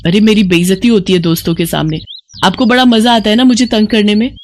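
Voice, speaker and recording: A narrator voicing a bratty, short-tempered young city daughter-in-law who scolds indignantly in an animated moral story. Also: mid-range voice, quick pace, a little hiss or hum, pitched above the performer's own voice, close to an everyday voice. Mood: indignant, scolding.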